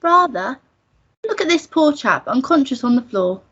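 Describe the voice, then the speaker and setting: A woman's voice: high voice, sing-song, normal speed, a bit bright, breathy edge, heavily acted. A woman playing a posh, affected, drink-loving society girl, breezy in a radio melodrama. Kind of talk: melodramatic radio play acting. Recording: dull lo-fi recording.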